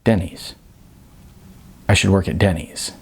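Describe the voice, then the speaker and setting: A man's voice: sits low, steady tone, a little slow, gravelly, slightly rough, exaggerated voice. A man voicing a naive, puzzled young aspiring actor in an audiobook, hesitant and questioning.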